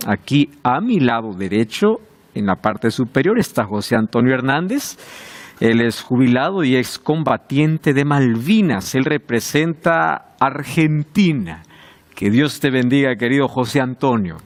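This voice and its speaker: A man's voice: mid-range voice, expressive, a little slow. A man hosting a televised Bible study, welcoming and speaking directly to the viewers. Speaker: host of a Bible study show.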